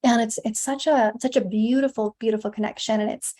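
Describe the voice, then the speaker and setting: Soft-toned woman speaking in flowing emphasis. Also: on the high side, fairly even intonation, a little fast. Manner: flowing, emphatic, repeats words and says "like" often. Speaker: guest, women's embodiment teacher. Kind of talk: conversational interview.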